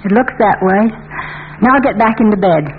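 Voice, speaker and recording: A woman's voice: mid-range voice, animated, moderate pace, close to an everyday voice. An actress playing a patient, wryly composed wife and mother in a 1940s radio drama. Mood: gently brisk. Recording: tinny old radio broadcast, faint hiss.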